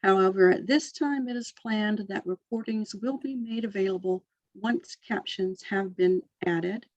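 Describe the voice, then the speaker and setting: A woman convener reading scripted opening announcements for a conference session in a formal, even manner. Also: mid-range voice, measured pace, no room sound, dull audio.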